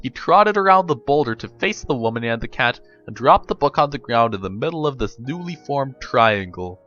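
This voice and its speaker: A man giving a dramatic reading of a story, with emphatic phrasing and deliberate pauses. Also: medium pitch, expressive, a bit bright, highs cut off, soft background music. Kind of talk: dramatic audiobook-style narration with pictures.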